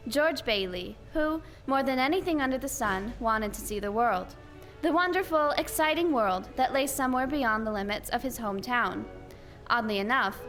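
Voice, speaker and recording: A woman playing an earnest storyteller, narrating a 1940s-style radio play in a measured, dramatic way. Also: a little high, fairly bright tone.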